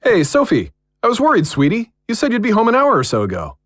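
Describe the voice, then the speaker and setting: Actor as an expressive, devoted male partner. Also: high-pitched, quick pace, dry recording, clean studio sound, exaggerated voice. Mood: concerned. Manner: affectionate, expressive. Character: caring, devoted romantic partner. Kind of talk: audio drama dialogue.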